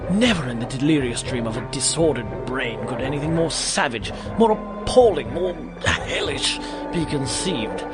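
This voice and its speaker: A man's voice: mid-range voice, lightly acted. A man playing an indignant, self-important Victorian doctor in an audio drama, pompous and theatrical in formal phrasing. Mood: grand, ominous. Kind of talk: audio drama acting and dramatic first-person narration.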